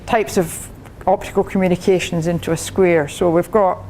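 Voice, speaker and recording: A woman's voice: fairly low pitch, steady tone, moderate pace, a little mellow, a little hiss or hum, low-quality recording. An older Scottish woman, a professor, giving a chatty technical talk to a club, laughing often and restarting her sentences. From Scotland. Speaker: guest speaker, professor.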